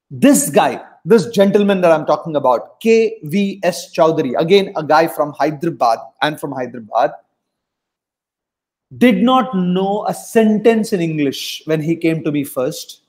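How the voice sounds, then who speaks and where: Emphatic South Indian coach on a call. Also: male voice, on the high side, darkish tone. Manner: emphatic, repeats lines for effect, mixes in Hindi. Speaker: test prep coach. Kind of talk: motivational webinar talk. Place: home room on a video call.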